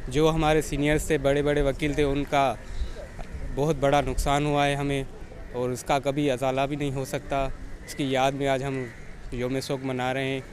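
A man's voice: mid-range voice, little pitch movement, a little hiss or hum. A young male lawyer speaking plainly and sadly in an outdoor street interview. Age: young adult. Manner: plain, grieving, slightly rambling. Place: outdoors by a courthouse car park.

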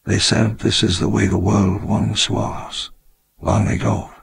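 An older actor playing an elderly founder who slowly and wistfully reminisces. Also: male voice, lowish voice, flat delivery, gravelly, breathy edge, no echo, heavily acted.

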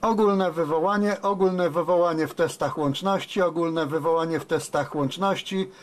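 A man's voice: fairly high pitch, moderate pace, noisy background. A man working as a radio operator, giving call-outs and casual commentary a desk indoors. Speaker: amateur radio operator, host.

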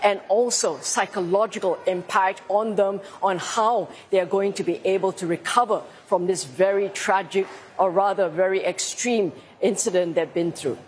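A woman in her forties reports live, measured and articulate. She speaks into a lapel mic in an indoor hospital lobby, a faint hum of noise around her. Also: medium pitch, steady tone. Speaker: field reporter.

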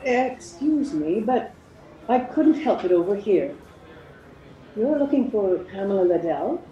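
A woman's voice: medium pitch, very animated, measured pace, mellow, lo-fi sound, faint music behind. An older woman playing a courteous, kindly Edwardian lady companion in a radio play. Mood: polite, concerned. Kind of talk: period radio play acting. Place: at home on a video call. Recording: roomy home video-call sound.

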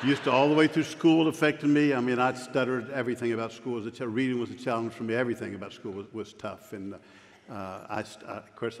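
A man in his fifties who stutters, preaching a joking, self-deprecating sermon on a church stage. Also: mid-range voice. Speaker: pastor. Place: large church sanctuary stage. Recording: long hall reverb, faint room noise.

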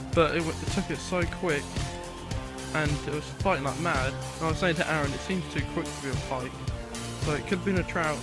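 Chatty young man filming by a river. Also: a little high. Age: young adult. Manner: chatty, rambling, addresses viewers as guys. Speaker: fishing vlogger. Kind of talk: casual outdoor vlog. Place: riverbank outdoors in winter. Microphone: handheld camera at arm's length.

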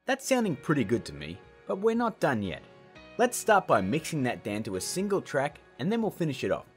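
A man's voice: on the high side, wildly swooping pitch, brisk. A man hosting a tutorial with scripted narration and chatty, informal asides. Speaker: tutorial host. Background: background music.

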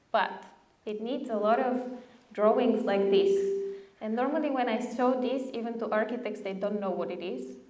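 A woman's voice: on the high side, darkish tone. A woman in her thirties from Bulgaria giving an enthusiastic conference talk at a podium mic in a large lecture hall, often checking in with the audience. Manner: enthusiastic, chatty, asks the audience with "right?" and "okay?". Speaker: speaker. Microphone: podium mic on a stand. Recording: roomy hall sound.

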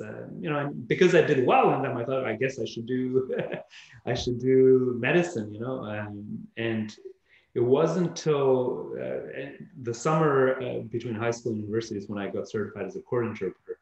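A man from Albania in his thirties, chatting casually on a video call with relaxed chuckles and many fillers and restarts. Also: mid-range voice, normal speed, vocal fry, lo-fi sound. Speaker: guest, translation company founder. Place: bright home office. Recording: echoey room on video call.

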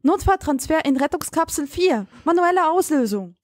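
Sci-fi audio drama commander, played by a woman: resolute, irritable female, high-pitched, clipped delivery, dry studio sound. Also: animated, moderate pace, no room sound. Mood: urgent, commanding. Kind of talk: scripted German audio drama acting.

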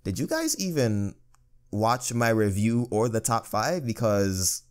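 A young man with a deep, bassy voice that sounds firm even when he is relaxed. His tone is smooth and resonant, in easygoing casual talk. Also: measured pace. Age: young adult. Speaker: guest reviewer. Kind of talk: casual podcast discussion.

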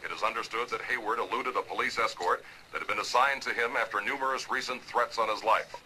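An actor playing a formal radio newsreader delivering an urgent bulletin in a TV drama. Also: male voice, medium pitch, quick pace, pushed and bright, slight background noise, poor audio. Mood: grave, urgent. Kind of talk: news bulletin reading in TV drama.

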